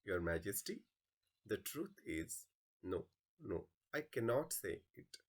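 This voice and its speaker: A wise old sage from a stage play, acted out by a man reading aloud. He is humble and beseeching, with slow phrasing. His pitch moves widely from phrase to phrase. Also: sing-song, breathy edge, exaggerated voice. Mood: reluctant. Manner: humble, pleading.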